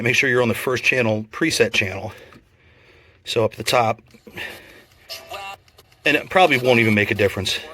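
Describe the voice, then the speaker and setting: A man with a medium-pitched voice talks through a product review casually and without a script. He explains things in a plainspoken, measured way and is easygoing about small flaws. The sound is dry, with very little room echo. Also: a bit bright.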